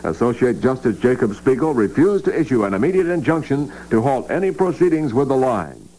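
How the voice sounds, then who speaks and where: A man's voice: mid-range voice, very animated, fairly quick, dark tone, low-quality recording. Newsman on humming vintage radio. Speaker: radio newscaster. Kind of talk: scripted 1960s news reading. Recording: vintage AM radio, faint hum.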